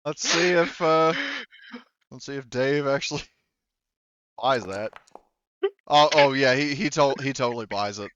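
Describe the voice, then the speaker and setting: A man with a clear, smooth voice runs a tabletop roleplaying game. He improvises the narration haltingly, thinking aloud as he goes. The sound is dry and a little dull. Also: medium pitch, a bit bright, no echo. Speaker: game master. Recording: slightly dull, band-limited audio.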